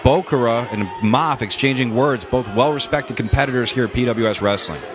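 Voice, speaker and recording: A man calling wrestling moves in quick succession as play-by-play commentator, with jokey asides. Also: mid-range voice, over music.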